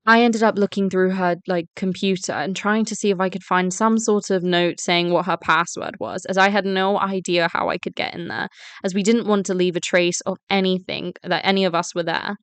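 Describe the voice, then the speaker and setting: Woman acting wary student girl, defiant, smooth clear voice, close dry recording, web story drama. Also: mid-range voice, fairly even intonation, normal speed, no room sound, close to an everyday voice. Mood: recounting, tense. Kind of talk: first-person story narration and voice-acted dialogue.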